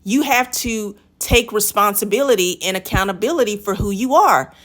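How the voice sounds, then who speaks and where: A woman's voice: mid-range voice, lively intonation, normal speed, fairly bright tone. Woman giving emphatic commentary. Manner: emphatic, lecturing, addresses the guest directly. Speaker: reaction video host. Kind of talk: commentary on a clip.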